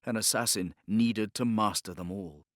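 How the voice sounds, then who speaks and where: A man reading a novel as an audiobook narrator, in a measured, solemn, dramatic storytelling style. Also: fairly low pitch, dry recording, studio quality.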